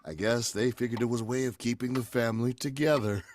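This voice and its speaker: A man's voice: medium pitch, steady tone, fairly quick, darkish tone, lightly acted. A man playing a smug real estate agent, condescending and a little hesitant, in 1940s radio drama style. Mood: wry, apologetic.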